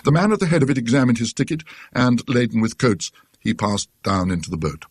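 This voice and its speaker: Husky British man narrating a ghost story. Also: medium pitch, animated, dry recording. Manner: dry, scholarly, measured literary delivery. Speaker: audiobook narrator.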